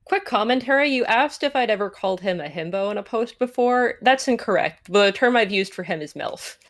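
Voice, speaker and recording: A woman's voice: mid-range voice, expressive, fairly quick. A woman chatting casually and giving commentary as a guest on a game stream. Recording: music behind the voice.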